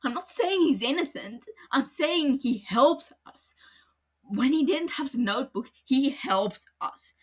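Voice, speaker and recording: A woman voicing an earnest young male detective who is appalled and pleading, in a higher voice than her narration. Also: lively intonation, a little slow. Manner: pleading, appalled, raised pitch. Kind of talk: podfic character reading.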